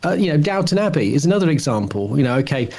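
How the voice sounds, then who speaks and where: A man's voice: on the high side, big pitch swings, fast talker, a little mellow. Rambling middle-aged British man. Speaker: podcast co-host. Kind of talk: casual chat about television.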